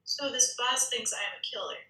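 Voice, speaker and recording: A woman's voice: a little high, moderate pace, sharp tone. A woman acting a frightened, bewildered civilian dragged into spy trouble at a screenplay table read. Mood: alarmed, protesting. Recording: echoey room, distant microphone.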